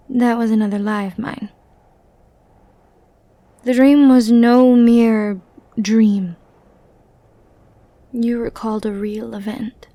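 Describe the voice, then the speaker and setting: Woman acting as ancient female villain, banished and lovelorn, breathy near-whisper, slow brooding delivery, higher voice, ASMR roleplay. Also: warm tone, no room sound. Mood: brooding, intimate. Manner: slow, tender, brooding, with dramatic pauses.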